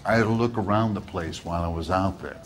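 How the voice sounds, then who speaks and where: A man's voice: low-pitched, fast, close to an everyday voice. Methodical, no-nonsense fire investigator played by a man. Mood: matter-of-fact. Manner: factual, clipped reporting. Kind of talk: video game cutscene acting.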